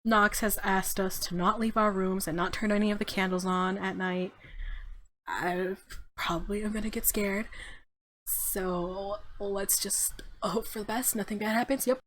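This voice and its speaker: A woman's voice: medium pitch, steady tone, unhurried, fairly bright tone, slight background noise. A woman hosting a let's play with casual, slangy commentary, giggly and easily spooked as she reacts. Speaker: gaming video host, let's player. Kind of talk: casual let's play commentary with visual novel read aloud.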